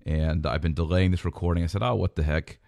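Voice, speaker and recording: A man with a very low voice and a nasal, stuffy cold sound hosts a religious teaching podcast. He speaks, casually and with the odd "uh" and restart. The recording is close and dry, with no room sound at all. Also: steady tone, fast talker, gravelly, darkish tone, dry recording. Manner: conversational, with fillers and restarts. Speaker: podcast host.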